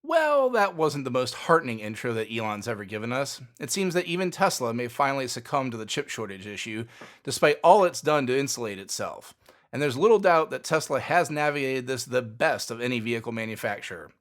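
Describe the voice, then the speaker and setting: A man's voice: mid-range voice, big pitch swings, fairly quick. A man hosting a podcast, reading fluent, opinionated scripted commentary on company news. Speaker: podcast host.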